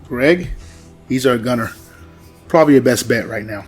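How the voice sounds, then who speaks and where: Man playing stern male squad leader, medium pitch, loyal commander, sci-fi audio drama, quiet background music. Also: normal speed, breathy edge, lightly acted. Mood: businesslike.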